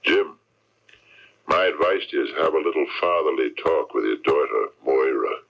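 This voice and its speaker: Very deep, husky, stern authority. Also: male voice, full character voice. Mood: stern warning. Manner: deliberate, pointed, lecturing. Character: stern, menacing male authority giving warnings. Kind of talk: radio drama acting. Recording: dull lo-fi 1970s radio recording.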